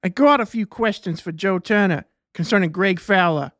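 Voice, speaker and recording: An actor playing a menacing police officer with an East Coast American accent, curt and confrontational. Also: male voice, high voice, normal speed, a bit bright, no echo, studio quality. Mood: pushy, confrontational.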